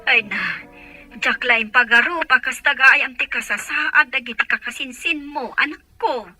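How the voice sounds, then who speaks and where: A woman's voice: high-pitched, normal speed, sharp tone, soft background music. A woman playing an earnest, concerned mother in an Ilocano audio drama. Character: earnest mother and older sister.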